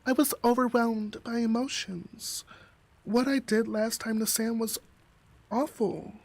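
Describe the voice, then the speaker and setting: A streamer reading a visual novel aloud, with expressive narration and sassy, slangy asides. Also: medium pitch, unhurried, vocal fry.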